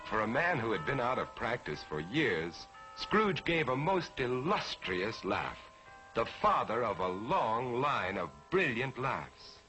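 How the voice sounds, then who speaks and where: An actor narrating a Christmas tale in the formal, theatrical style of 1940s television. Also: male voice, medium pitch, very animated, measured pace, rough recording. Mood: genial. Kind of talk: 1940s scripted story narration. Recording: old 1940s TV soundtrack with music.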